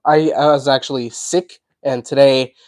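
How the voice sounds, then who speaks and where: A man hosting a casual livestream, talking in a chatty, rambling way while congested and hoarse from a cold. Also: mid-range voice, steady tone, moderate pace, a little mellow, no room sound, clean studio sound. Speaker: livestream host.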